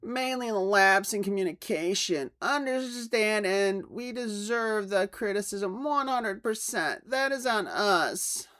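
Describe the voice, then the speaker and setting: A man's voice: sits high, expressive, a little slow, few pauses, pushed and bright, smooth, heavily acted. A man voicing a pompous, self-satisfied toy company boss in a mock dramatic reading of a letter. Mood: earnest, promising. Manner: pompous, salesman-like.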